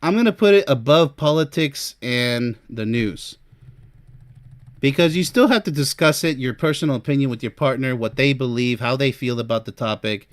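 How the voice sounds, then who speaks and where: A man's voice: medium pitch, normal speed, dry recording. Emphatic, chatty man giving advice. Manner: chatty and emphatic, addresses listener directly. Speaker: relationship advice host. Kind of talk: informal advice talk to camera, ranking topics.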